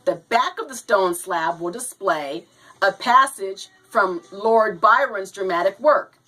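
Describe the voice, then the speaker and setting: A woman hosting a video show, slow and halting, a little hoarse from a cold, talking to viewers and reading aloud. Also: mid-range voice, lively intonation, bright, forceful tone, poor audio. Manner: slow, halting, says "you know" and "now". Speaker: host.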